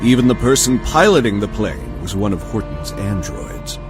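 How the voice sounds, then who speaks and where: Male narrator for audio drama, mid-pitched, very animated dramatic read, music clearly underneath. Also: normal speed.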